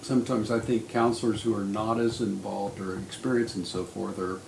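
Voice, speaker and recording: An older man speaking as a marriage counselor in a casual panel discussion, thoughtful and measured with frequent restarts. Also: fairly low pitch, flat delivery, gravelly, darkish tone. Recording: echoey room, faint noise.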